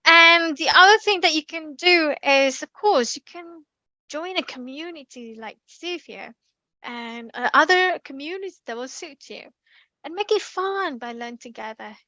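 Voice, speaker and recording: A young woman with an Indonesian accent, giving a lively online class talk with many ums and restarts. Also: high voice, normal speed, no room sound. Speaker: community speaking presenter. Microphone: computer mic on a video call.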